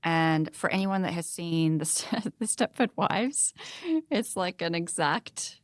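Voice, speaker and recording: A woman's voice: on the low side, takes it slowly, no room sound, clean studio sound. A woman hosting a podcast, talking in a conversational, discursive and questioning way. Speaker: podcast host.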